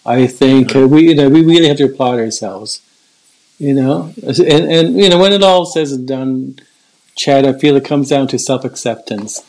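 A man's voice: medium pitch, normal speed, a little mellow, low-quality recording. Older man who stutters, earnest and reflective. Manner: stutters, with repeated words and restarts. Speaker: guest. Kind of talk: casual conversation.

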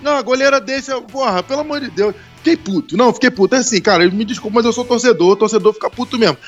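A high-voiced man talks fast and heatedly, peppering his chat with curses and laughter. He sounds like a co-host ranting about football on a casual show. Faint music plays underneath. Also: breathy edge, highs cut off. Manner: heated, swearing, laughs a lot.

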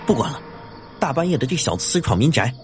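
Suspicious night-patrol policeman, male actor: officious, fast, animated, radio drama with music bed. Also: medium pitch, lightly acted. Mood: stern, suspicious. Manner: brisk, officious, questioning. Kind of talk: audio drama acting.

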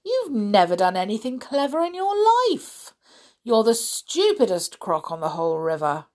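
A woman voicing a scornful, sharp-tongued smaller crocodile who scolds and mocks. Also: medium pitch, sing-song, moderate pace, voice pushed up, lightly acted. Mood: mocking. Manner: scolding, mocking. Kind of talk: storybook read-aloud.

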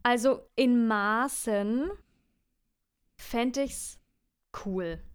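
Young woman co-host from Franconia, smooth clear voice, fairly high. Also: extremely slow. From Franconia, Germany. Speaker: podcast co-host. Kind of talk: casual chat.

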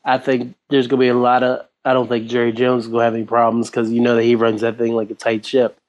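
A man's voice: medium pitch, completely flat delivery, quick pace, a little mellow. A man hosting a casual sports discussion show. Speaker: sports talk show host.

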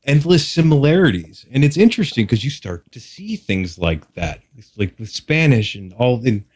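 A man's voice: medium pitch, very animated, normal speed, a little mellow, slightly rough, dry recording, studio quality. A man from Texas chatting casually as a podcast guest, with a candid, wry, casually profane way of talking. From Texas, United States. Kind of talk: casual interview chat.

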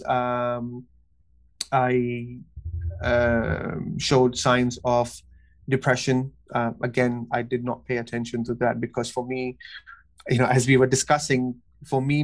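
A man from Pakistan, raised in Kuwait, a therapist telling his personal story as a podcast guest in a halting, earnest way with many pauses and restarts. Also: mid-range voice, low-quality recording. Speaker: guest, cognitive behavior therapist.

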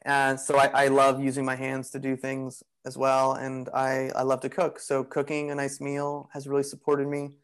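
A man speaking as a guest on a podcast, in a voice of middling pitch. He talks in a loose, meandering way, leaning on "you know" and "like" as he works through his thoughts. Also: moderate pace, few pauses, smooth. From Greek heritage. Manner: relaxed, rambling, many "you know" and "like" fillers. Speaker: podcast guest, bodywork practitioner. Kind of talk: casual conversational interview.

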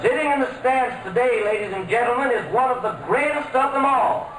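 A man's voice: extremely high pitch, fairly quick, a bit bright. A man playing a grand stadium announcer making a public-address call in an old radio drama, echoey with faint noise. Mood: grand, proud.

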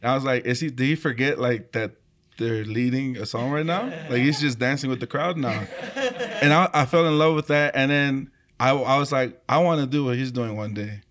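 A man whose first language is Tongan, telling personal stories in a casual interview in a halting, reflective, conversational way. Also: medium pitch, a bit bright. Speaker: church choir leader and worship singer.

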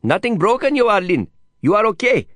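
A man voicing an eager, excitable Indian guide with an Indian accent and effusive, lilting English, in an audiobook. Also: high voice, a little fast, dry recording, voice pushed up. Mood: anxious. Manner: effusive, lilting non-native English phrasing. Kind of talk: audiobook character voice.

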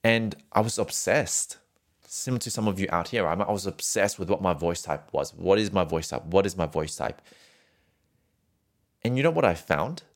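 Deep-voiced man coaching singers. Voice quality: rich, low voice. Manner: earnest, repetitive, keeps adding "right?". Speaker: singing teacher. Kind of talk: casual coaching talk to camera.

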